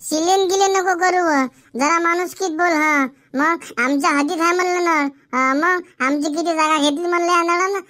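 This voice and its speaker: A woman's voice: very high-pitched, steady tone, normal speed, a bit bright. A woman playing a defensive, argumentative village farm woman in a comic cartoon, with a rural Varhadi Marathi accent. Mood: defensive bickering.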